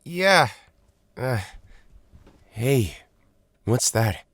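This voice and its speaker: A man's voice: medium pitch, lively intonation, extremely slow, a bit bright, no room sound, clean studio sound, heavily acted. A man playing a sheepish, hesitant young man in an audio drama. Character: sheepish young man caught sneaking home.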